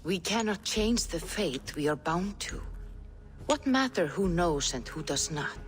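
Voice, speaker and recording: An actress voicing a calm, fatalistic woman seeress who speaks slowly and gravely in a video game cutscene. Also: lowish voice. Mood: mystical. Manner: slow, hushed ritual chanting and grave speech.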